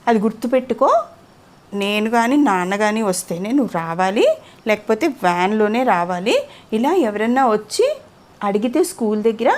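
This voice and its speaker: Female storyteller, high voice, chatty bedtime-tale delivery that quizzes the young listener. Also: animated, normal speed. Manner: interactive, asks the child questions. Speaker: storyteller to a child. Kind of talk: conversational bedtime moral storytelling.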